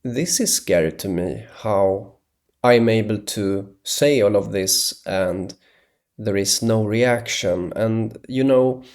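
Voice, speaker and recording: A man from Sweden, talking hesitantly in a rambling solo monologue, with many pauses and restarts. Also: on the low side, a little mellow. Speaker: video blogger.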